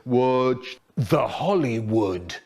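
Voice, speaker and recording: Spooky storybook hypnotist, acted by a male narrator: very slow, lowered, chanting delivery. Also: animated, a little mellow. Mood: spooky, hypnotic. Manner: slow, intoning, repetitive chanting. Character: spooky droning stage hypnotist, the transpector.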